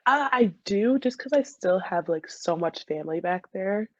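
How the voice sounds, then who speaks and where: A woman's voice: medium pitch, animated, moderate pace, no echo. A woman in her twenties chatting casually as an interview guest, informal and easygoing, on slightly muffled audio. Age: 20s. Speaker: interview guest, dental student and soccer player. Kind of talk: casual chat.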